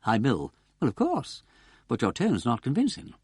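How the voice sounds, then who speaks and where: A sardonic upper-class gentleman detective, as a man puts him on, drawling and mocking, his pitch leaping high and swooping back down. The voice is husky, and the recording is close and dry. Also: huge pitch swings, moderate pace, no echo.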